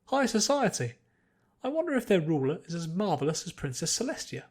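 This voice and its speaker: A man's voice: sits high, sing-song, fairly quick, a little mellow, husky, voice pushed up, heavily acted. A man voicing a genteel, theatrical young lady unicorn with a love of fashion in a story reading. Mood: delighted.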